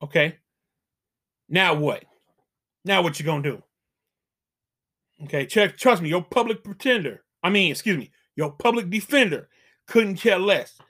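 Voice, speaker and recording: A man's voice: sits high, lively intonation, measured pace, pauses often, a bit bright. A middle-aged man hosting a channel, giving casual dating advice as an emphatic, preachy warning full of slang.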